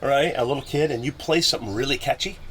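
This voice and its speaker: A man with a gravelly voice talking casually and ramblingly as a musician guest on a podcast. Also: mid-range voice, fast talker, a bit bright. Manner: rambling, colloquial, occasional swearing. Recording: clear background noise.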